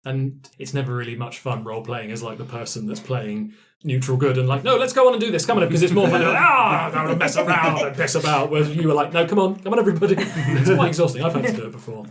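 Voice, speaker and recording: A man's voice: on the high side, fast talker, fairly bright tone. Encouraging man hosting a casual chat. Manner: encouraging, appreciative. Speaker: game master and host. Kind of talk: casual post-game chat.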